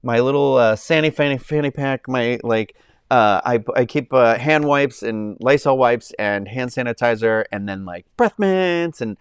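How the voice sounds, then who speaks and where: A man's voice: medium pitch, moderate pace, smooth, no echo. Nasal man rambling on a live stream. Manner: rambling, with many ums and restarts. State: congested from a summer cold. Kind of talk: casual chat with live chat viewers. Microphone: clip-on mic under his shirt.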